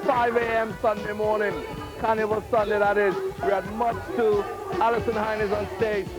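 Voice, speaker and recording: A man's voice: high voice, little pitch movement, moderate pace. Trinidadian man with music blaring behind. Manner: eager, raises voice to shout over crowd. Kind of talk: documentary interview.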